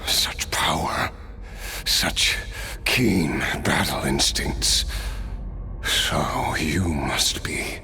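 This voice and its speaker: An actor playing a weary, solemn ancient noble swordsman spirit in a video game, speaking in a measured, reflective storytelling manner. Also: rumbling low, sharp tone, faint music behind, exaggerated voice. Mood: grave, intense.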